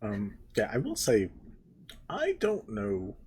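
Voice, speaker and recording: A man's voice: lowish voice, huge pitch swings, takes it slowly, a little mellow, a little hiss or hum. A man who runs a tabletop game, chatting in a rambling way and second-guessing his own thoughts. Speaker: game master. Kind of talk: casual podcast chat.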